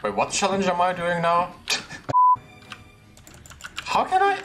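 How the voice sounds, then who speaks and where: A young man casually commentating a horror game he streams, breaking into high surprised laughs. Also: big pitch swings, takes it slowly, noisy background. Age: young adult. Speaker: gaming streamer. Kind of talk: casual let's-play commentary. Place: room at a desk with a gaming chair. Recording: game music playing behind. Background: game music and keyboard typing.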